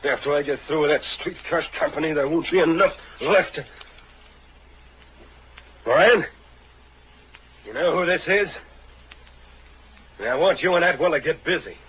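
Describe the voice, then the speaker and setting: A man's voice: fairly high pitch, normal speed, long pauses, close to an everyday voice. An actor playing a slippery, pompous, scheming businessman in an old radio drama style. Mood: scornful, plotting. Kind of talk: 1930s radio acting. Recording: scratchy, narrow old radio recording.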